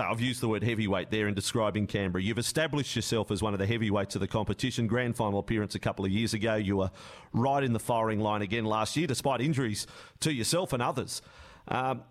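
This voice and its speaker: Emphatic male sports radio host. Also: on the low side. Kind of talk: broadcast sports interview.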